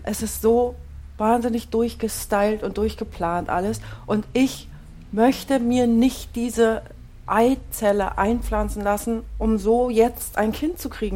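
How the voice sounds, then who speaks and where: A woman's voice: medium pitch, warm tone, close to an everyday voice. A woman in her forties playing a fed-up, defensive wife in a naturalistic audio drama. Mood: weary, pleading. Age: 40s. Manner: defensive, exasperated. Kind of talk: naturalistic improvised-sounding audio drama dialogue.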